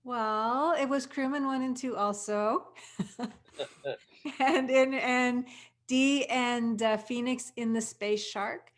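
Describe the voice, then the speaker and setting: Female cast member, higher pitch, unhurried pace, hesitant conversational storytelling. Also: fairly even intonation. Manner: hesitant, repeats words. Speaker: audio drama cast member. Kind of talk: casual chat, recounting scenes.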